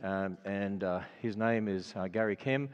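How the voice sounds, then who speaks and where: A man's voice: fairly low pitch, unhurried, smooth. An older man with an Australian accent, closing a sermon and then making announcements in an earnest, conversational way with many fillers. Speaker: speaker. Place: large auditorium. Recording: dull sound with long hall reverb.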